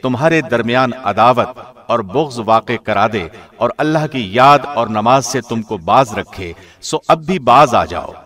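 Male radio host type reading scripture translation, formal scripted delivery, medium pitch. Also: expressive, a bit bright.

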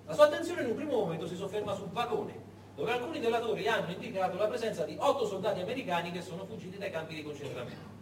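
A man narrating a story on stage in a dramatic, theatrical way, with deliberate pauses. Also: sits high, animated. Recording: echoey room, far mic, faint noise.

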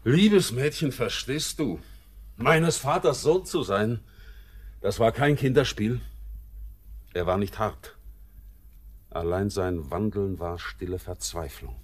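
Brooding, low-voiced seaman, slow and weighty. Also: a little mellow, breathy edge, full character voice. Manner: theatrical, weighty verse declamation. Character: brooding, melancholy seaman, bitter and world-weary. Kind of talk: classic German radio drama acting.

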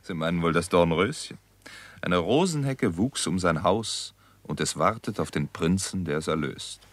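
A man's voice: low voice, animated, moderate pace, dry recording. A man playing a cool, decent, reserved doctor in a 1960s German radio crime drama, speaking drily and matter-of-factly. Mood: guarded, dry. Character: cool, decent, reserved male doctor turned amateur sleuth.

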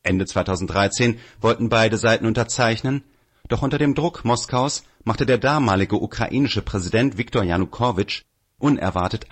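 A man reading a political article aloud as scripted narration. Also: on the low side. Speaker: reader of an audio article.

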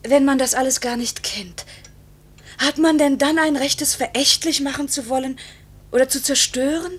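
An actress playing a pilot's anguished young wife in a 1950s German radio drama, pleading and accusing in a halting, emotional, breathy delivery. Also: female voice, high-pitched, close to an everyday voice. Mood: bitter, pleading. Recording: hissy 1950s radio recording, faint hum.

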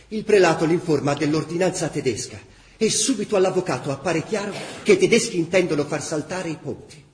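A man's voice: a little high, a little mellow, a little hiss or hum. A man reading a scripted dramatic historical chronicle for radio theatre. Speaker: narrator. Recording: very echoey room.

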